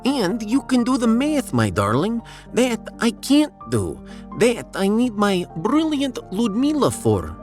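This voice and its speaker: A man's voice: high-pitched, huge pitch swings, slow, darkish tone, soft background music, heavily acted. A man playing a fretful, self-doubting, dreamy scientist with a Russian accent in a theatrical sci-fi audio drama.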